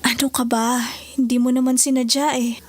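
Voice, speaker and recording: A woman's voice: high voice, little pitch movement, moderate pace, darkish tone. A woman playing a caring, worried Filipino wife and mother in an emotional family drama. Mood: soothing.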